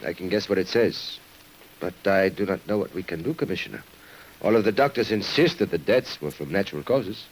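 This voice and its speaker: An actor playing a sober, dutiful police subordinate, speaking formally in an old radio drama. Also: male voice, sits low, animated, husky, full character voice, poor audio. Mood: sober, resigned. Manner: formal, measured. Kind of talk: 1950s radio drama acting.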